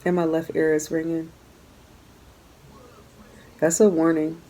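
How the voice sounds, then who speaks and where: A woman giving a casual tarot reading to her viewers, talking earnestly and repeating her phrases, her voice going hoarse. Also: sits low, level tone, takes it slowly, mellow, rough recording. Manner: earnest, repeats phrases while coaching the listener. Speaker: tarot reader.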